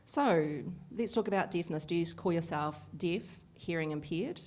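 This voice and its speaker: A woman voicing a Deaf host live at an evening event, lively and chatty with frequent fillers. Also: lowish voice, normal speed. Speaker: sign language interpreter voicing the Deaf host. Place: event venue with an audience.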